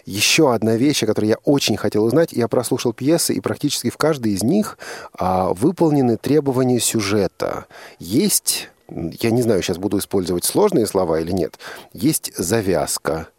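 A man's voice: fairly low pitch, animated, normal speed, darkish tone, husky, no echo. Male radio host in a dry studio. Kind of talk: conversational interviewing.

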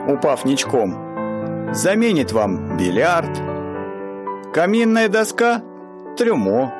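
Guy narrating a radio play real slow, voice swinging up and down, music under him. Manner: slow, wry storyteller with wide swings in pitch.

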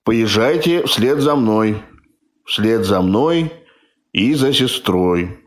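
A man playing a stately, commanding fairy-tale tsar in measured, declamatory verse for an amateur audio play. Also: fairly low pitch, lively intonation.

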